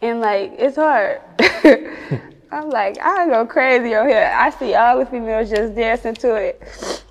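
A woman's voice: on the high side, unhurried, gravelly, in a large hall. A woman with a Chicago accent chatting casually in an interview as a rapper, with slangy, sweary talk. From Chicago, United States.

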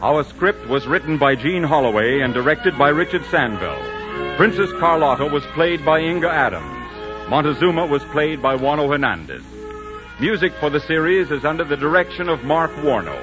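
A man's voice: a little high, big pitch swings, normal speed, music in the background. A man with an American accent announcing in a polished, persuasive 1940s radio style. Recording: muffled 1940s radio broadcast.

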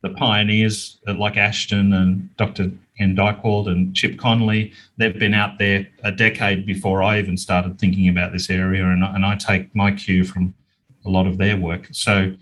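A man's voice: low-pitched, little pitch movement, normal speed, fairly bright tone, a little hiss or hum. A middle-aged Australian man answering interview questions in an earnest, plain-spoken, conversational way. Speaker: interview guest, small business owner.

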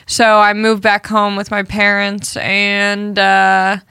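Bright, crisp young woman comedian. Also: mid-range voice, completely flat delivery, takes it slowly, dry recording. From Southern California. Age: 20s. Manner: chatty, lots of "like", tells stories. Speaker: podcast guest, comedian. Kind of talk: casual chat.

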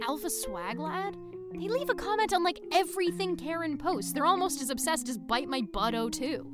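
A woman's voice: high-pitched, lively intonation, moderate pace, music in the background. An actress in an audio fiction comedy playing an upbeat, scatterbrained, placating woman who chirps along agreeably. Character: upbeat, placating flat-earther woman, scatterbrained animal lover.

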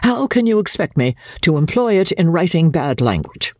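A woman's voice: lowish voice, sing-song, normal speed, a little mellow, no room sound, clean studio sound. A woman reading classic literature dramatically as a prim, self-righteous older mother, pompous, genteel and indignant in manner. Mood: prim indignation.

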